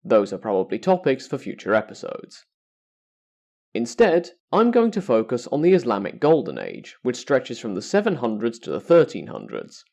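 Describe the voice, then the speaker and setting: A man's voice: medium pitch, huge pitch swings, moderate pace, gravelly, a little mellow. A man hosting an educational podcast, reading scripted narration in a formal, carefully structured way.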